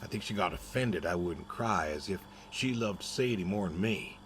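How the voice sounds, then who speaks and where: Low-voiced, plainspoken pig farmer. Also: male voice, expressive, fairly bright tone, slight background noise, rough recording. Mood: hurt, defensive. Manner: folksy, halting, understated. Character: quiet, stubborn, plainspoken middle-aged male pig farmer, grieving. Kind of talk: naturalistic dramatic game dialogue.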